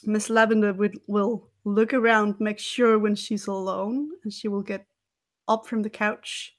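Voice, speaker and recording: A woman's voice: medium pitch, level tone, unhurried. A woman playing a tabletop roleplay game, describing what her character does.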